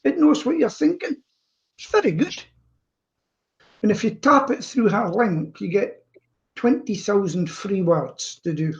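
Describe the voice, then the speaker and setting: The sound is dull and lo-fi, like a live streaming call. A co-host talks in a casual, rambling way at an average pitch, drifting between anecdotes. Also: lively intonation, measured pace, pauses often. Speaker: co-host of online chat show. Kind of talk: casual chat. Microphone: live streaming video call.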